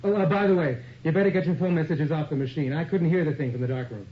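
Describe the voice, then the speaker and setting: A man's voice: fairly high pitch, quick pace, a little hiss or hum, close to an everyday voice. An actor playing an easygoing, eager male studio assistant in a radio drama, casual and agreeable. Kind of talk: 1980s radio drama acting. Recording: dull, lo-fi radio recording.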